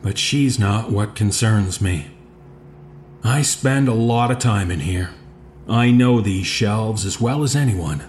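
An actor playing an uneasy archivist recording case notes in a horror audio drama, measured and matter-of-fact with small hesitations. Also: male voice, lowish voice, a little mellow, a little hiss or hum. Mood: uneasy, foreboding.

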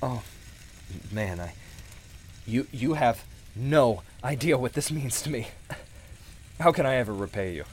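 A man playing a grieving widower and recovering alcoholic in a radio play, stammering and halting with emotion. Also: mid-range voice, expressive, slight background noise, natural voice. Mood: humbled, grateful.

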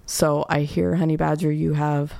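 A woman podcast host with a calming, soft-spoken voice, chatting casually. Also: deep voice, moderate pace, creaky, dry recording. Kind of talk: casual chat.

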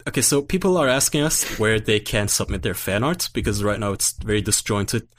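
A man's voice: lowish voice, fairly quick. A man in his twenties co-hosting a podcast in casual chat, laid-back, swearing freely and laughing often. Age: 20s. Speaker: podcast co-host.

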